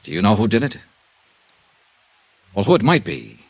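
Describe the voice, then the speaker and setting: Tough male investigator, fifties radio noir, lowish pitch, brisk matter-of-fact delivery, dull vintage sound. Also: sing-song. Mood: pressing. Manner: clipped, matter-of-fact, hard-boiled. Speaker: lead actor and narrator. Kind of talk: 1950s radio noir narration and dialogue. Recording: muffled vintage radio recording.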